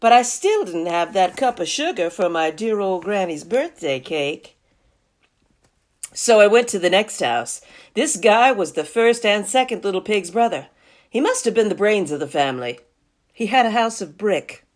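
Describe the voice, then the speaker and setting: A woman's voice: mid-range voice, sing-song, normal speed, natural voice. A woman voicing a folksy, indignant male wolf who tells his side of a storybook tale with mock innocence. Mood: self-excusing.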